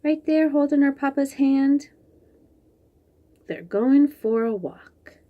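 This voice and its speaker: A woman's voice: sits high, slow, muffled recording. A woman reading a picture book to young children, describing the pages in simple short phrases and asking the listener questions. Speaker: children's read-aloud host.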